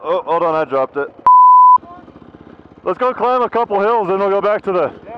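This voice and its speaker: Young male trail rider, high-pitched and animated, casual and playful, recorded on an action camera. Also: moderate pace. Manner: excitable, playful, slangy. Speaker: dirt bike rider and vlogger. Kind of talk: casual chat. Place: garage and outdoor dirt trails. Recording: muffled, loud engine and wind noise.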